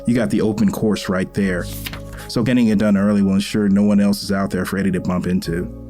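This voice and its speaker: Caring father male actor, middle-aged, warm low-key tone, folksy and measured, audio drama over faint music. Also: steady tone, lightly acted. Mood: casual. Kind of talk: audio drama acting, conversational.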